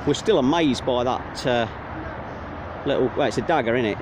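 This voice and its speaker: A young man with an English Midlands accent, chatting excitedly while vlogging from a riverbank, full of tag questions. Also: medium pitch, normal speed. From England. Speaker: magnet fishing vlogger. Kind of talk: casual outdoor commentary. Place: riverbank outdoors. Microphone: handheld or body camera. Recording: outdoor wind and traffic noise.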